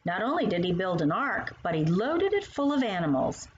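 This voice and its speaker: Lady doing a sweet older woman explaining stuff to a little kid, storybook style, lively, bit muffled. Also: mid-range voice, moderate pace, a little hiss or hum, close to an everyday voice. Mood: patient storytelling. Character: kindly, playful older woman teaching a young child.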